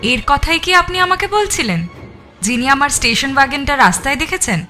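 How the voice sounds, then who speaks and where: A woman's voice: high voice, animated, moderate pace, pushed and bright, faint music behind. An actress playing a haughty, cool-mannered, strong woman in an audio drama, speaking in a pointed way. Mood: cool, arch.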